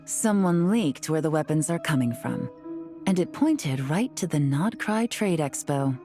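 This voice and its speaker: An actress playing a cool, shrewd female intelligence agent in a video game, calm, knowing and deliberate. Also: deep voice, soft background music. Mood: calm, analytical. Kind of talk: video game character acting.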